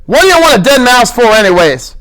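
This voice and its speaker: A man reading aloud and voicing a small, gruff working man who scolds and grumbles with irritation. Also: extremely high pitch, very animated, brisk, a bit bright, pitched above the performer's own voice, heavily acted, poor audio. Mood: gruff, scolding. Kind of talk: audiobook character reading.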